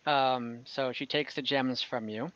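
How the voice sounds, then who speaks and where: A young man as dungeon master on a video call, relaxed and rambling with many fillers. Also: mid-range voice, unhurried. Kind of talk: running an online tabletop game. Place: home room. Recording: slightly dull video-call audio.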